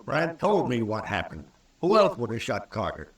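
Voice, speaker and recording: A man's voice: mid-range voice, wildly swooping pitch, normal speed. A male actor playing a hot-tempered, vengeful American rancher in a 1950s radio western, indignant and blustering, with rustic speech. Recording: old radio recording, roomy and distant.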